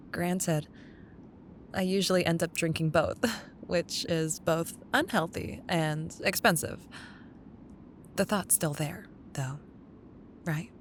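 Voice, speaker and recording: A woman playing a caring, wistful young childhood best friend in an intimate, gently teasing ASMR roleplay. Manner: tender, unhurried, teasing. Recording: audible low rumbling background noise.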